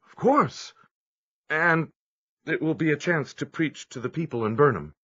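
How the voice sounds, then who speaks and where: A young preacher in an old-time radio drama, earnest, lively voice, lo-fi with faint music. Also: male voice, fairly high pitch, a little slow. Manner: earnest, devout. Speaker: narrator and lead character. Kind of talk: audio drama acting with narration. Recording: dull lo-fi audio with music.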